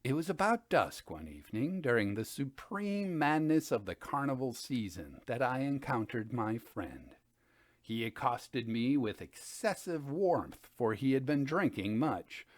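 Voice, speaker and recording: Man reading gothic fiction, widely swooping pitch. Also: big pitch swings, dry recording. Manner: theatrical, deliberate phrasing. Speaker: storyteller reading stories by request.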